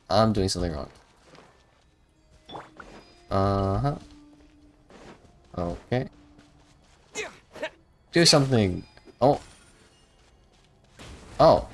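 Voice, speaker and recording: Man, low voice, swooping intonation, casual slangy game streamer, muttering, unsure. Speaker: live streamer playing a video game. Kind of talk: casual live gameplay commentary. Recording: music behind the voice. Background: game music.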